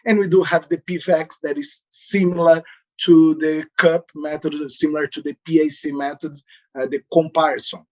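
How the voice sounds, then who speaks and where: Brazilian consultant, native Portuguese, fairly high-pitched man, careful explainer with hesitations. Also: steady tone, normal speed. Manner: explanatory, with frequent "uh" and non-native phrasing. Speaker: transfer pricing consultant. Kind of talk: podcast interview answers.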